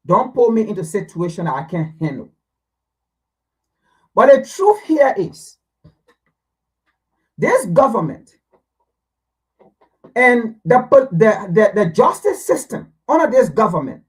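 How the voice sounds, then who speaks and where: A man's voice: sits high, slightly rough. A man giving pointed political commentary on a talk show, accusing and emphatic. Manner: lively, accusing, emphatic pauses.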